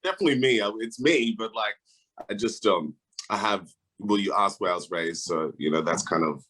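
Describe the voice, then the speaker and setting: A young man from Austria, a band's lead singer, chatting in an interview in a relaxed, laid-back way. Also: fairly low pitch, a little fast, breathy edge, rough recording. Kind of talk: casual interview chat.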